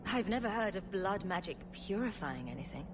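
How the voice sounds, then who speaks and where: A woman's voice: medium pitch, lively intonation, a little fast, close to an everyday voice. A woman playing a wry, direct rogue adventurer in a video game cutscene, with a sardonic way of talking. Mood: questioning. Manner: sarcastic, direct. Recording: noisy game ambience behind.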